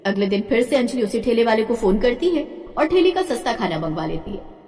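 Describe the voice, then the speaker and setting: The recording rings with heavy reverb. A woman narrates a scripted story in a fairly high voice, with lively, wide-moving intonation. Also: brisk. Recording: echoey hall-like reverb.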